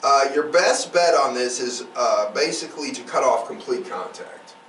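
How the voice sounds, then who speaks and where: A man hosts a casual podcast in a rambling, explanatory style. His mid-pitched voice moves up and down a lot. It is picked up from a little distance in an echoey room. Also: expressive, normal speed, fairly bright tone. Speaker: podcast host. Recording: roomy echo, distant mic, faint noise.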